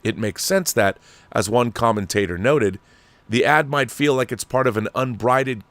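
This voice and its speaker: A man's voice: mid-range voice, normal speed, fairly bright tone, no echo. American man reading aloud, dry close sound. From Washington state, United States. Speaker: online talk show host. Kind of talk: reading an article aloud with asides.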